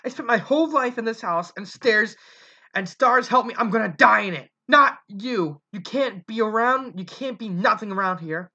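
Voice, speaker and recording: A reader voicing a harsh, desperate mother pony who shouts and scolds her son, then apologizes. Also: mid-range voice, normal speed, close to an everyday voice. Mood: shouting. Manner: loud, scolding, pleading. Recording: dull lo-fi recording.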